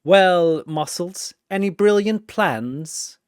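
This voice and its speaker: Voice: medium pitch, expressive, slow pace, smooth, no echo, pitched above the performer's own voice. A narrator voicing a suave male vampire with a dry, teasing, sardonic manner in an audio story.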